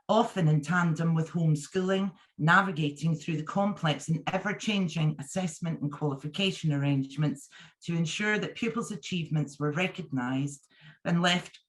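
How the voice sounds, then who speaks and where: A woman who is a union deputy general secretary, giving a measured, formal conference address read from a script. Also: low voice.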